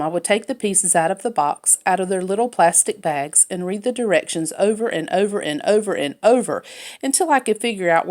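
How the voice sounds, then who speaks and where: A woman reading a children's novel aloud as a first-person narrator. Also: lowish voice.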